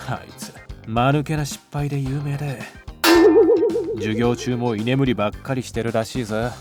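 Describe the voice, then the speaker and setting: A man playing an aloof, gruff high school boy with curt, rough speech and a bashful streak, in an anime-style voice drama. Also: fairly even intonation, moderate pace, over loud music, natural voice. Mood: flustered, defensive.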